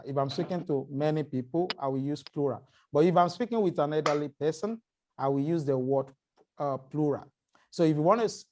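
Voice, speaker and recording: A man's voice: a little high, a little mellow. A man whose first language is Yoruba, explaining a phrase in a casual group chat, carefully and with many restarts. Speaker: panel guest.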